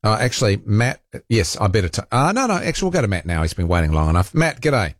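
A man's voice: on the low side, sing-song, quick pace, no echo, clean studio sound. A man hosting talkback radio, chatting in an easygoing way with stumbling restarts. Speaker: radio talk show host. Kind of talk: casual talkback radio chat. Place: radio studio.

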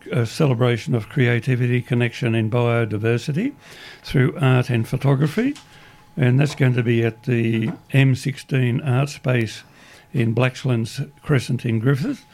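Croaky, gravelly Australian man on radio. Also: mid-range voice, darkish tone. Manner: rambling, restarts often, upbeat. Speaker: community radio host. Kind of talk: casual radio announcements.

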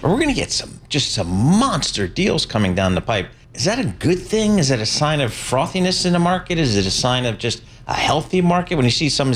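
A man hosting a radio show, asking chatty, animated questions. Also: medium pitch, a little hiss or hum. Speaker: radio host, interviewer. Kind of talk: conversational questions.

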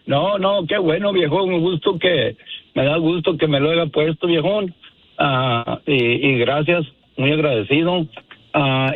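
A hoarse man with a Jalisco Mexican accent, calling in by phone and talking in a relaxed, folksy, friendly way. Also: a little high, no room sound. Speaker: caller. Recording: dry muffled phone line.

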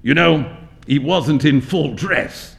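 A man voicing a jovial, teasing young English gentleman in a theatrical story reading. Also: a little high, slow pace, gravelly, full character voice. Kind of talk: dramatic literary story reading.

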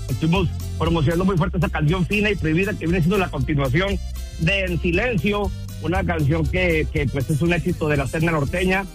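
A man from Durango, a band's singer speaking in a relaxed, rambling and friendly way on a phone-in radio interview. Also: on the high side, brisk, soft background music. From Durango, Mexico. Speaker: band vocalist, phone guest.

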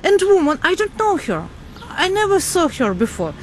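A woman from Ukraine, a native Russian speaker, recounting personal experience in halting Ukrainian-accented English. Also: very high-pitched, wildly swooping pitch, slight background noise. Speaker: interviewee. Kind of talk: personal recollection in halting second-language English.

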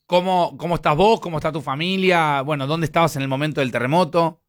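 A man's voice: fairly high pitch, steady tone, fast. A man with an Argentine accent hosting a live news interview, speaking with many fillers and restarts. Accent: Argentine Spanish. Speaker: radio news host.

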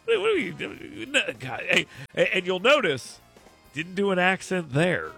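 A man's voice: high voice, huge pitch swings, a little slow, vocal fry, bright, forceful tone, soft background music. A man hosting a podcast, joking bluntly in casual chat. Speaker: podcast host.

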